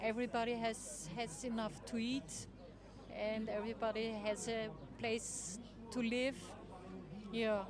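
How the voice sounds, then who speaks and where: A woman's voice: fairly high pitch, level tone, a little slow. A woman in her sixties answering a street interview outdoors, thoughtful and hesitant, with many fillers. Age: 60s. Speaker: festival participant, parent activist. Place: outdoor festival square. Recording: crowd noise outdoors.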